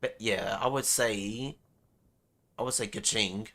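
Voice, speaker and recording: A man's voice: fairly low pitch, slow, pushed and bright. A man hosting a casual gaming livestream, talking in a laid-back, slangy way. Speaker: livestream host.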